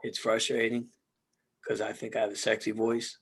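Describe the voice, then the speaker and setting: A man co-hosting a podcast in casual chat, hoarse from losing his voice, joking loosely and swearing freely. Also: lowish voice, completely flat delivery, normal speed. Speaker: podcast co-host.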